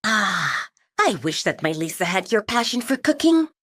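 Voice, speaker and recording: This is a woman acting a middle-aged cook in an audio drama, kindly and motherly. She speaks with lively intonation and a warm manner, in a dry, close sound. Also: mid-range voice, moderate pace, dry recording. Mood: wistful, fond. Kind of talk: audio drama character dialogue.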